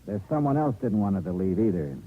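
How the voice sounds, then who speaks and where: An actor playing a hardboiled, shrewd investigator who narrates and questions in a clipped, sardonic 1950s radio-drama style. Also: male voice, lowish voice, big pitch swings, fast talker, slight background noise. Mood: pressing, skeptical. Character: hardboiled, shrewd male insurance investigator narrating his case. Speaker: narrator and lead detective. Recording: tinny old radio recording with music.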